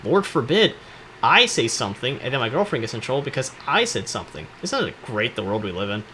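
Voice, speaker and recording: A man's voice: mid-range voice, big pitch swings, fairly quick, pushed and bright, a little hiss or hum, poor audio. A man hosting casual comedic commentary on game cutscenes, joking and rambling in slangy speech. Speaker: video host.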